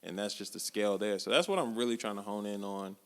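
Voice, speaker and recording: Young guy chatting on a podcast, lowish voice, laid-back slang, lots of reverb. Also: fast. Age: 20s. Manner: relaxed, slangy, reflective. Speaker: podcast co-host. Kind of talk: casual chat. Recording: long hall reverb.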